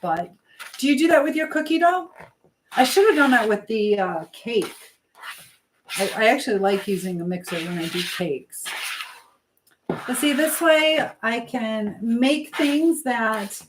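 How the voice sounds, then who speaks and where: A woman's voice: on the high side, unhurried. A woman chatting casually on a livestream as she bakes in her home kitchen, rambling and thinking aloud. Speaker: livestream host. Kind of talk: casual live-stream chat while baking. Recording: noticeable background noise, clicks.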